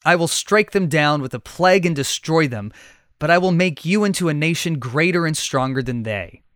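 A man's voice: on the high side, expressive, normal speed, fairly bright tone, no echo. Man voicing solemn, aggrieved God, dry recording. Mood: grave reproach. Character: solemn, aggrieved God passing judgment on his people.

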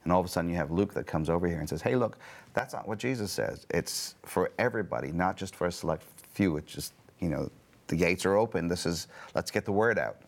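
A man's voice: low voice, fast talker. A man hosting a casual Bible study discussion, playful and joking as he asks questions. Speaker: host.

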